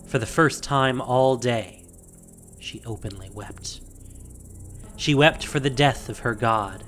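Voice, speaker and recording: A man narrating an audio drama in a measured, dramatic storytelling manner. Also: medium pitch, a bit bright. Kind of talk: dramatic scripted fantasy narration.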